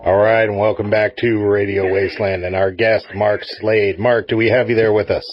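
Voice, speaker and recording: A man's voice: fairly low pitch, level tone, moderate pace, highs cut off, faint music behind. A middle-aged man hosting a radio show, chatting casually as he interviews a guest.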